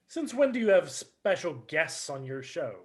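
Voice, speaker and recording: A man's voice: on the high side, very animated, normal speed, breathy edge. A man playing a sulky, bookish teenage son, dry and sarcastic, in a staged play reading on a video call. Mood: dismayed, sarcastic.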